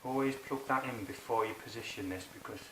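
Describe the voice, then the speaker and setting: Echoey noisy clip, bloke chatting through a how-to, informal and colloquial. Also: male voice, medium pitch, fairly quick, fairly bright tone. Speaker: motorbike rider presenting a how-to video. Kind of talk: casual hands-on tutorial. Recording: roomy, distant mic, clear background noise.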